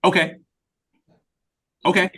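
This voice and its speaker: A man leading an online meeting in casual chat, speaking informally and haltingly as he thinks aloud. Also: pushed and bright. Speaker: writer-director leading the meeting. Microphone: video call.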